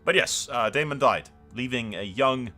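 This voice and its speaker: A man hosting a game roleplay series, telling the story as he plays in an excitable, dramatic way. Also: mid-range voice, normal speed, soft background music. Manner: excitable, dramatic, mild swearing. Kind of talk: lively game playthrough commentary and storytelling.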